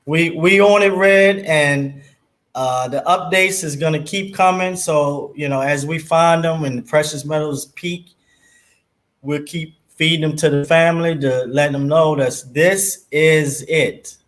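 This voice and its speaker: A man's voice: on the high side, steady tone, a little slow, fairly bright tone. A man from Baltimore with a Baltimore accent, a guest on a casual livestream who talks loosely and keeps saying yes. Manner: affirming, loose, frequent "yes" interjections.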